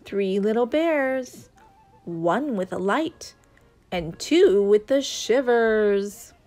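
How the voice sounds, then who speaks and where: Slow-paced woman reading a children's story. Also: mid-range voice, animated, darkish tone. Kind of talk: scripted picture-book read-aloud. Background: music.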